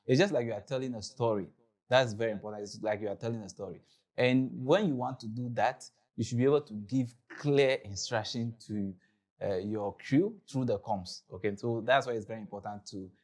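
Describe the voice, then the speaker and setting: A man's voice: mid-range voice, a little mellow. A man with a Ghanaian accent presenting an informal explanatory tutorial and product overview.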